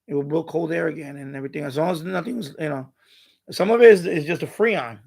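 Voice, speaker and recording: A man hosting a casual live stream, rambling and repeating himself in halting, unscripted sentences. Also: on the high side, creaky, a little mellow. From New York City, USA.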